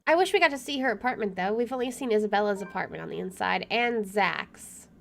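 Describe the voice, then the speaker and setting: A young woman streaming a game, chatting casually and reading story text aloud in an expressive way. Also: medium pitch, fairly quick, vocal fry.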